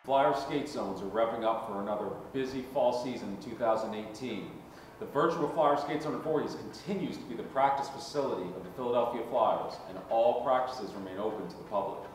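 A man co-hosting a scripted promo video, announcing in a measured way. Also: mid-range voice, a little hiss or hum. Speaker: co-host. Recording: echoey room from far off.

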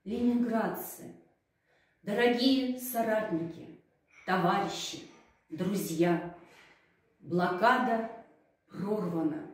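A middle-aged woman recites in a slow, dramatic, declamatory manner. Her voice sits at an ordinary pitch and sounds distant, with heavy room echo. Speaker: challenge participant. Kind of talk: solemn recitation. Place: indoors, in front of a painted backdrop.

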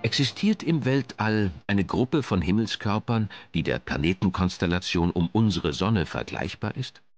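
A man reading scripted documentary narration in a measured, dramatic manner. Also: sits low, lively intonation. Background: music.

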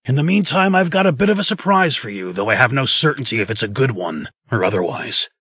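A man's voice: medium pitch, lively intonation, fast, a bit bright, husky, dry recording, clean studio sound. A man voicing an agreeable, plain-spoken fairy-tale craftsman in a comic dub, earnest and deferential. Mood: helpful.

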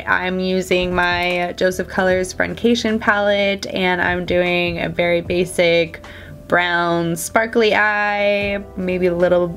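A woman's voice: medium pitch, a bit bright. A woman in her thirties with a stuffy, nasal cold voice, chatting upbeat while doing her eye makeup on camera. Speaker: beauty vlogger. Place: small indoor room before a plain backdrop.

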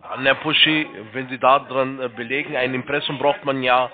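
A man's voice: mid-range voice, level tone, moderate pace. A man working as a call center agent, talking informally with a customer on the phone. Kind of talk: informal phone sales conversation. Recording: band-limited phone-call audio.

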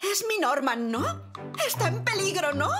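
A woman's voice: very high voice, very animated, a little slow, heavily acted. A woman playing a dramatic, bossy, doting middle-aged mother in a children's cartoon, theatrical and gushing. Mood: suspicious. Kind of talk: animated children's cartoon dub. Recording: loud music under the voice.

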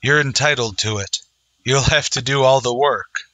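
A man plays a resolute lawman hero in an old-time western radio show. His voice is bright and forceful at a middling pitch, and he speaks with solemn earnestness. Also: normal speed, no room sound, lightly acted, rough recording.

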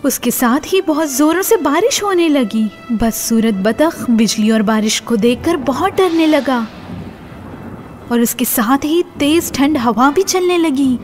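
Female kids' story narrator, high register, swooping intonation, music bed behind. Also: animated. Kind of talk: animated scripted children's story narration.

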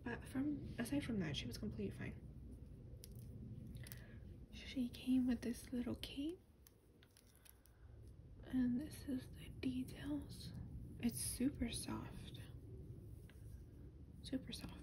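A woman speaking softly and breathily, often whispering, in a gentle, unhurried ASMR show-and-tell. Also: high-pitched. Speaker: doll collector showing her collection. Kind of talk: soft-spoken ASMR product show-and-tell. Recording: noisy lo-fi ASMR recording.

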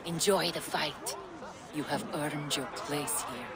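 An actor playing Eivor, an earnest male Viking warrior, speaking in a quiet, measured way. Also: fairly high pitch, creaky, heavy background noise. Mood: calm. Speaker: player character. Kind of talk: video game voice acting. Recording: game audio with loud music and crowd.

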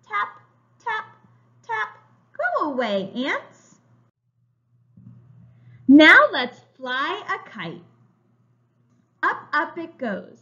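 A woman with a high, bright voice reads aloud to small children like a preschool teacher. Her delivery swings widely in pitch and is very expressive, with emphatic words. The recording is a little dull, like a home webcam. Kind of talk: children's story read-aloud. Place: room at home, indoors. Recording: slightly dull, lo-fi webcam sound.

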